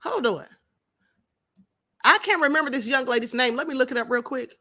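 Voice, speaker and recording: A woman's voice: high voice, brisk, sharp tone. A middle-aged woman hosting her own livestream, giving opinionated commentary and firing rhetorical questions at her audience. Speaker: livestream show host. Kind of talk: opinionated commentary to a live chat.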